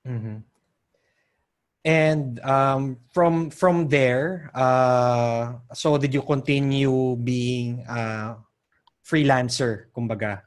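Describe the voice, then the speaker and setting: A man in his forties from the Philippines, hosting a podcast interview in a relaxed, casual way. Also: mid-range voice, drawn-out words. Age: 40s. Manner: relaxed, laughs often, short backchannels. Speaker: podcast host.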